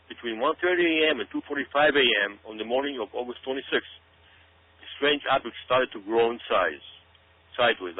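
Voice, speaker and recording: Male radio guest commentator, medium pitch, insistent and dramatic with rhetorical questions, band-limited sound. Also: slightly rough, narrow, tinny audio. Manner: emphatic, repetitive, with rhetorical questions. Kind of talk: dramatic speculative commentary to listeners.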